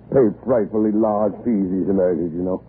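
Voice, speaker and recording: An actor in a 1930s radio drama playing a flustered, blustering upper-class British husband who stammers and hems and haws. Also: male voice, low-pitched, natural voice. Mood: flustered, evasive. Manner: stammering, hemming and hawing. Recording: noisy, tinny 1930s radio broadcast.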